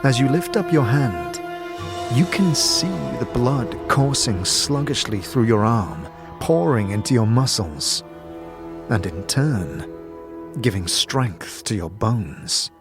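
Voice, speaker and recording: A man narrating a dark fantasy audio drama in the second person, with measured, solemn, dramatic storytelling. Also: mid-range voice, animated. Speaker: narrator and game master. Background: music.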